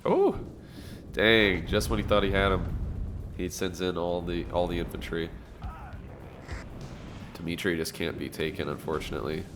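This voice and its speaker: A man commentating a strategy game match, sounding nasal from allergies, reacting excitedly to the action. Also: low voice, noisy background. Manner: excitable, fast reactions and laughs. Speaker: gameplay commentator.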